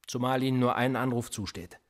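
An actor playing a sardonic, probing police interrogator in a naturalistic radio drama, speaking in a deliberate, controlled way. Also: male voice, medium pitch, lightly acted. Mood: cold, menacing. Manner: deliberate, probing, dryly ironic.

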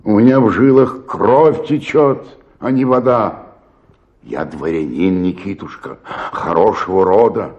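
An actor playing a despairing, self-pitying old provincial actor in a radio play, declaiming and then sinking into murmurs. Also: male voice, lowish voice, unhurried, lo-fi sound. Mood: self-pitying, bitter. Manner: declamatory, swinging between outburst and murmur. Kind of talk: radio play dramatic monologue.

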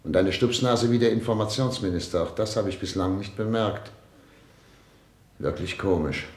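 A man's voice: on the low side, moderate pace, roomy sound, close to an everyday voice. A man in a radio play acting an imperious, uneasy president with a commanding, pompous, brooding manner. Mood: musing.

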